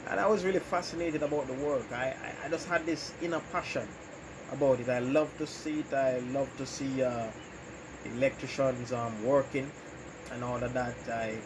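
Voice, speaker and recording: A man with a Jamaican accent, an electrician who hosts his own channel, answering interview questions about his life in a casual, unhurried way with frequent ums and you-knows. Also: medium pitch. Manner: unhurried, pauses often with "um" and "you know". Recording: noisy background.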